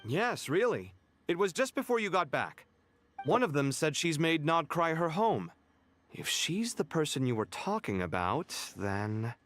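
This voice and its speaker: Casting: helpful youthful game companion, lightly high voice, lively inflection. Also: male voice, unhurried, faint music behind. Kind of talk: video game dialogue.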